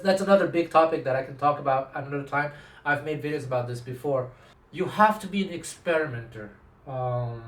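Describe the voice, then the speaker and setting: A man's voice: on the high side, a bit bright. A man whose first language is Taiwanese, a jazz guitar teacher talking casually to camera, thinking aloud with many fillers and restarts. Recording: echoey room, mic at a distance.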